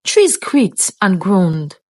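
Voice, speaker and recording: A woman's voice: mid-range voice, sing-song, very slow, a little mellow, no echo, studio quality. A woman narrating a scripted folktale in a suspenseful, theatrical manner. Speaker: storyteller.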